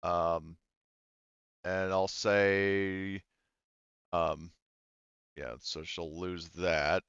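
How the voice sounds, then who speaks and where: A man's voice: sits low, flat delivery, drawn-out words, fairly bright tone, smooth, no room sound, highs cut off. Male game master, clean resonant tone, casual and profane, close dry sound. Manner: profane, informal. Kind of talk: casual tabletop game narration, online session.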